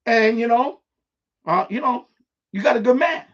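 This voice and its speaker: A man with a husky voice, telling stories in a blunt, repetitive, sweary way on a casual live stream. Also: very high voice, normal speed. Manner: blunt, profane, repeats himself, animated. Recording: dull, lo-fi livestream audio.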